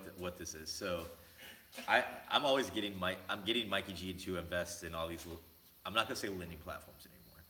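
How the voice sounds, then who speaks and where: A man with a very low voice hosts a casual livestream, joking loosely and calling out viewers in the chat by name. His tone is crisp and forward despite the depth. He speaks into a laptop mic in an echoey room with a faint hiss behind him. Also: fairly bright tone. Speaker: livestream host. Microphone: laptop mic, no headphones.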